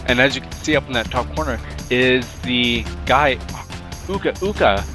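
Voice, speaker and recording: A man's voice: medium pitch, animated, moderate pace. A man with a cold, sniffling a bit, giving rambling, playful commentary while he plays a video game. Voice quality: rambling, self-talking, playful jokes at the game. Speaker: let's play host. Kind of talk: casual gameplay commentary. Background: loud game music.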